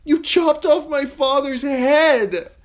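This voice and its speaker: A man voicing a terrified teenage girl captive who pleads and sobs in a high, strained voice. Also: takes it slowly. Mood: desperate pleading.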